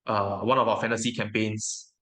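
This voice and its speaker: A man whose native language is Mandarin, giving casual interview answers as a guest in a reflective, hesitant way with frequent pauses. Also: fairly low pitch, level tone, fairly bright tone. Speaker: interview guest, tabletop game educator.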